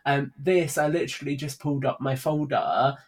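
A man's voice: medium pitch, fairly even intonation, normal speed. A British man chatting casually as a podcast guest, upbeat and jokey. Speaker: podcast guest, audio drama writer and producer.

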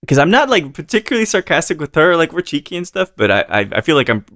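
A man's voice: on the high side, big pitch swings, fast, fairly bright tone, no room sound, studio quality. A man hosting a podcast, chatting casually with a sarcastic, loose manner. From Texas. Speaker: podcast host. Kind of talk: casual interview chat.

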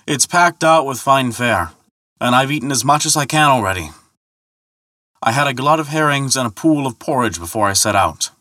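A man voicing a booming, hot-tempered and credulous thunder god in a mythological tale, bellowing and boasting indignantly. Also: animated, moderate pace, bright, forceful tone, dry recording, clean studio sound. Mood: hailing loudly. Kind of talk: dramatic mythological storytelling.

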